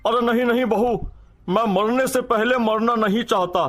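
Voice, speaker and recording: A man playing a greedy, blustering older uncle in a comic cartoon, loud and hearty in his complaints. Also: very high voice, steady tone, moderate pace, noisy background. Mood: pleading, fed up. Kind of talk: exaggerated comic cartoon acting.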